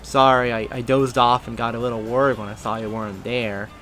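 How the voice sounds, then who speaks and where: A man's voice: mid-range voice, flat delivery, brisk, a bit bright, soft background music, lightly acted. A man voicing a flustered young man hero who covers his confusion and plays along nervously. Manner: nervous, hesitant.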